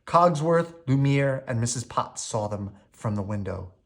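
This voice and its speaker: Animated male storybook narrator. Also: mid-range voice, unhurried. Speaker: storybook reader. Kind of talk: expressive scripted bedtime story narration.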